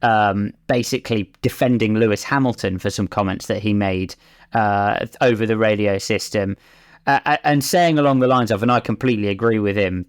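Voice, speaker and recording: A man's voice: lowish voice, normal speed. Man giving emphatic sports analysis. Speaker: racing driver, podcast pundit.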